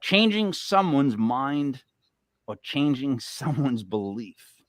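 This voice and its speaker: A man's voice: medium pitch, takes it slowly, no room sound, clean studio sound. A man hosting a podcast, chatting casually and telling rambling stories with frequent laughs. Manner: laughing, rambling, animated storyteller. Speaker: podcast host. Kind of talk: casual chat.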